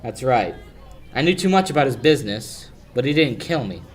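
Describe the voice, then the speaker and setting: A man's voice: mid-range voice, big pitch swings, normal speed, natural voice. A young male amateur actor playing a cocky, wisecracking sleuth with a confident, needling manner in a 1940s radio drama style. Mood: wry, relaxed. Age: young adult. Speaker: amateur high school actor.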